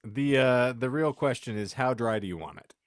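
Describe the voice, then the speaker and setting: A man's voice: medium pitch, expressive, moderate pace, no echo, studio quality. A man hosting a comedy podcast, bantering in a mock-grandiose, self-deprecating, wordy way. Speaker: podcast host.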